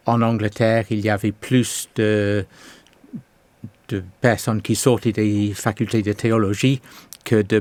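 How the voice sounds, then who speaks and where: A man in his sixties with an English accent, a pastor giving his testimony in a slow, hesitant way with many pauses. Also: lowish voice, a little mellow, no echo. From England. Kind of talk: testimony interview.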